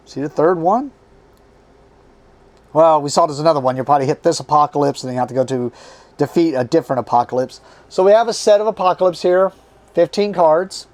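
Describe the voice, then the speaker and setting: Man, fairly high voice, strained and tiring, casual unboxing chat, reads card names in short bursts. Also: darkish tone. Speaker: board game channel host.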